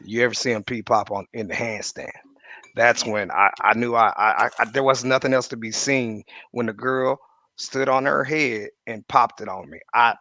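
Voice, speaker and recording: A man with a gravelly, forceful voice banters on a podcast, dry and close to the mic. He hammers points home by repeating them and mixes in jokes. Mid-pitched and steady. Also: normal speed, dry recording. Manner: emphatic, repeats punchlines, jokes. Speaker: podcast co-host. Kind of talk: casual chat.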